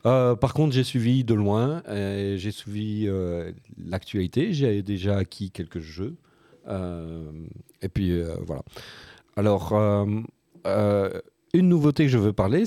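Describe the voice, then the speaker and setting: A man in his forties explaining board games on a casual podcast, in a hesitant way with many filled pauses. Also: on the low side, dark tone, no room sound. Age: 40s. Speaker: board game podcast panelist.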